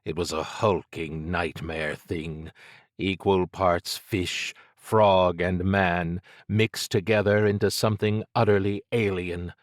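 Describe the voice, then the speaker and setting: A man narrating scripted fiction as an audiobook, with measured, dramatic storytelling. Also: deep voice, fairly even intonation, no room sound, studio quality.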